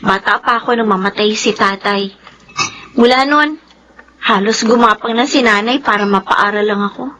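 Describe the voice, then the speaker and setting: A woman's voice: medium pitch, moderate pace, pushed and bright, slight background noise, natural voice, poor audio. A young woman with a Filipino accent playing an earnest, sisterly, hardworking student in a radio drama, emotive and admonishing. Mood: heartfelt. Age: young adult.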